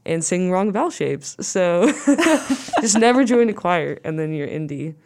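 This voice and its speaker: A young woman, a guest musician, talking casually in an interview, rambling and saying "like" a lot, with a low voice. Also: very animated, a little slow, darkish tone.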